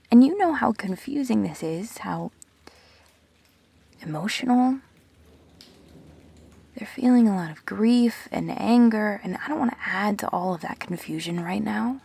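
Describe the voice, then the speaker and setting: A woman acting an earnest, guarded immortal best friend in an audio drama, speaking in measured, reflective phrases with pauses. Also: medium pitch, vocal fry, warm tone, husky, exaggerated voice. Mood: hesitant, vulnerable.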